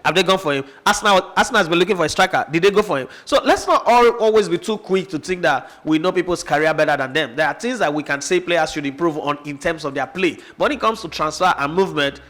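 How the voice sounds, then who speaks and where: A man with a Nigerian accent holds forth on football in an opinionated, passionate way. He keeps throwing rhetorical questions at his listener. His voice sits a little higher than most men's. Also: fast, few pauses, a bit bright. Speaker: sports show host. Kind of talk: opinionated football analysis chat.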